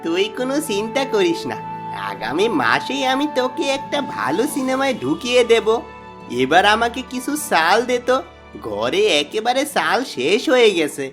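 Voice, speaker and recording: A man playing a sly, greedy, boastful village swindler in a cartoon moral story, with an animated, coaxing manner. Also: high voice, normal speed. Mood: coaxing flattery. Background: background music.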